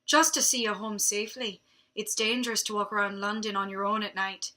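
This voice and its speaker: This is a cocky, flirtatious male vampire, put on by a woman reading aloud in a try-hard Irish accent. The lines come quick and smug. The tone stays bright and forceful throughout. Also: mid-range voice, steady tone, natural voice. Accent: attempted Irish.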